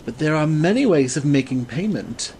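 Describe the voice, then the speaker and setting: A woman's voice: low voice, animated, normal speed, warm tone, slight background noise, pitched below the performer's own voice. A woman playing a mocking noble swordsman. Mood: wry. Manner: mocking, commanding. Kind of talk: audiobook character voice.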